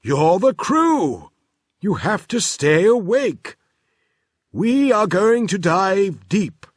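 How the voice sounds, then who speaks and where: A man's voice: high-pitched, sing-song, slow, dry recording, studio quality, voice pushed up, exaggerated voice. A man voicing a forgetful, mishearing old professor in a storybook read-aloud, fussy and exclaiming. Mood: muddled.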